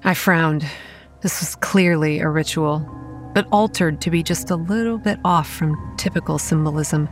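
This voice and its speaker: A woman's voice: low-pitched, moderate pace, soft background music, natural voice. A woman playing a guarded, hot-tempered young campground manager who narrates and speaks in a horror audio drama, earnest with a sardonic edge. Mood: measured, explanatory. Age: young adult. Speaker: narrator and lead character.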